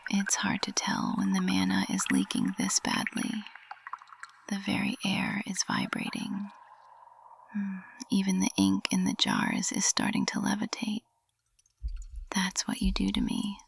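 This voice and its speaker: A woman playing a composed witch professor unraveling with love in an intimate ASMR roleplay, whispering in a breathy, husky, halting and tender way. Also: mid-range voice, very animated, heavily acted. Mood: nervous, confiding. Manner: slow, halting, tender, flustered.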